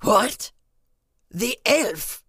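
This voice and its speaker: A woman playing a gruff, mocking, bearded female dwarf miner in a fantasy audio drama, brusque and scornful. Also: on the high side, expressive, very slow, fairly bright tone, no echo, studio quality, heavily acted.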